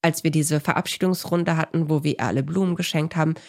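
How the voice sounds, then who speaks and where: The kind of deep-voiced woman from the Ruhr you would hear as a podcast guest, recounting her own life with heartfelt emphasis. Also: fairly even intonation, quick pace, a little mellow. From Ruhr area, Germany. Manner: effusive, emphatic wording. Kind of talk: personal storytelling in an interview.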